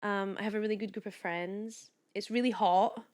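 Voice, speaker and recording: A woman in her late thirties who grew up in Kuwait, talking as a podcast guest. She chats in a lively, rambling way, full of "like"s and restarts, with a pitch in the middle range for a woman. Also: normal speed. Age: late 30s. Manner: chatty and animated, many "like"s and restarts. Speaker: podcast guest, wellness coach. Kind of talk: casual chat.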